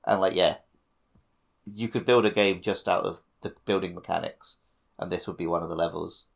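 A man chats informally about a video game as a podcast co-host. His voice is on the low side, with plenty of gravelly fry in it. The delivery is conversational and unscripted. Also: fairly even intonation, normal speed. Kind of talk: casual gaming chat.

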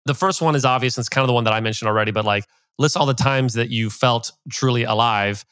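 A man's voice: mid-range voice, quick pace, fairly bright tone, smooth, no room sound, studio quality. A man hosting an interview as a coach, chatty and full of filler words as he retells a client story. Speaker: podcast host and coach. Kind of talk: casual interview talk.

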